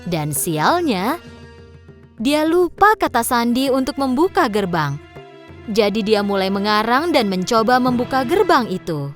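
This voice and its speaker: Female narrator for children's stories, high and lively, faint music underneath. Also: measured pace. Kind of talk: scripted children's storybook narration. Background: background music.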